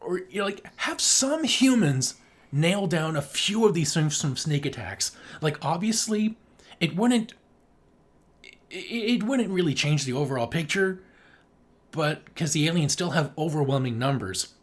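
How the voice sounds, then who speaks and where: A man with a slightly high voice chats casually as a podcast co-host. He picks things apart with sarcasm, in loose conversational movie-review talk. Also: lively intonation, a little slow.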